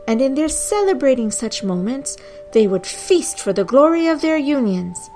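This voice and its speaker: A woman narrating scripted mythology in a dramatic, deliberate storytelling manner with measured pauses. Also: fairly high pitch, a little mellow. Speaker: podcast host and narrator.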